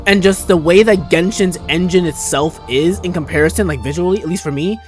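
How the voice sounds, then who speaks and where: A man's voice: high voice, fairly quick. A man streaming a game and giving casual, slangy, gushing commentary peppered with swearing. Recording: game music behind the voice. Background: video game music and dialogue.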